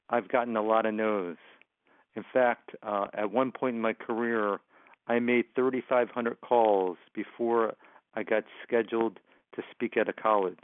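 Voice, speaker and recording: A man with a stutter, a motivational speaker answering interview questions, often repeating words and sounds. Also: fairly low pitch. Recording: phone line, dry.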